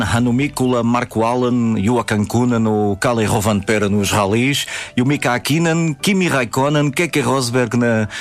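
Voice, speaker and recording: Man, European Portuguese accent, fairly low pitch, interview host, wordy conversational questioning, light background music.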